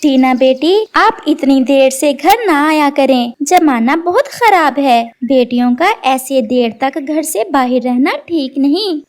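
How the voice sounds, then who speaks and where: A woman voicing a worried, gently scolding mother bird in a children's cartoon, with a concerned, admonishing manner. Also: high voice, lively intonation, slow pace, few pauses.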